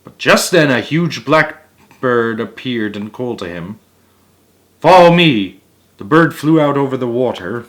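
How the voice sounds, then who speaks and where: A man reading a children's book aloud in a middle-pitched voice, pausing to add casual, chatty comments of his own. He sometimes trips over a word and restarts it, which keeps the reading relaxed and homemade. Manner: chatty asides and small chuckles between passages; occasional stumbles and restarts. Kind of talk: read-aloud with casual asides.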